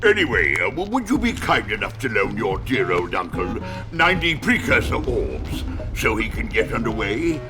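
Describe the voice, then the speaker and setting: A man's voice: on the low side, sing-song, moderate pace. A man playing a pompously polite old British explorer uncle in a video game, hemming through florid phrases over music. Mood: apologetic, wheedling. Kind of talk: cartoonish video game acting.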